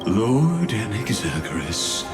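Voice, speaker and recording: A man's voice: on the low side, big pitch swings, slow pace, music in the background. A man voicing a cold, grandiose machine overlord in a game, speaking with pompous, menacing formality. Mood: cold, condescending. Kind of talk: dramatic scripted game acting.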